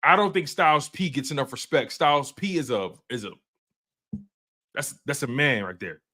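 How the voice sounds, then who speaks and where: A man's voice: medium pitch, moderate pace. Laid-back young man rambling on a livestream. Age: 20s. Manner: rambling, repeats himself, restarts sentences; relaxed, slangy, addresses viewers as y'all. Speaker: sports show host. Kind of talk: casual live stream chat.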